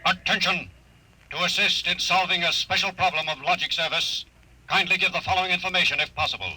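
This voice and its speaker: An actor playing an impersonal talking machine that makes formal, emphatic announcements in an old radio drama. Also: male voice, high voice, a little fast, pushed and bright, slight background noise, rough recording.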